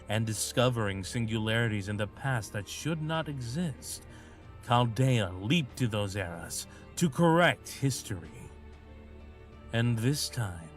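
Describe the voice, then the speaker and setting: A man reading scripted story narration in a measured, solemn manner. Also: mid-range voice.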